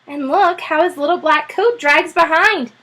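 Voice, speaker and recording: A woman's voice: sits high, a little slow, fairly bright tone, voice pushed up. A woman reading aloud, voicing an eager, chatty little girl in a children's storybook. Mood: amused.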